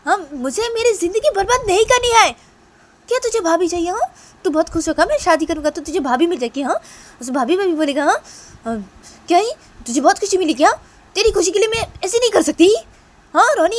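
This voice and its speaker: A woman voicing a stubborn young sister who refuses to marry, talking high and loud in indignant protest. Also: lively intonation, moderate pace, husky.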